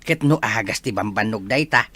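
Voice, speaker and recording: A jovial old Ilocano grandfather fond of his drink, acted by a man in an audio drama. His voice is bright and very animated, recorded dry. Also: fairly low pitch, normal speed, husky, no room sound, exaggerated voice. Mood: jovial, chuckling.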